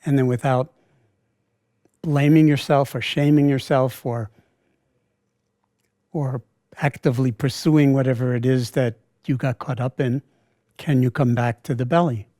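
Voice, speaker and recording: Slow, mellow male meditation guide. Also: mid-range voice, no room sound, clean studio sound. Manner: calm, unhurried, pausing mid-sentence. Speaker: meditation teacher.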